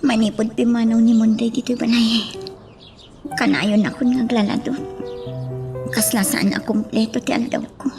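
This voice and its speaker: A woman's voice: on the high side, little pitch movement, moderate pace, long pauses, close to an everyday voice. An actress playing a lovelorn, concerned young woman in a radio drama. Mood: wistful. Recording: clear music behind the voice.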